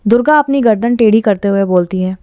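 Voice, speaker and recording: A woman's voice: mid-range voice, fairly quick, dark tone, a little hiss or hum. A woman reading scripted horror story narration as the narrator, recorded close like a studio voice-over.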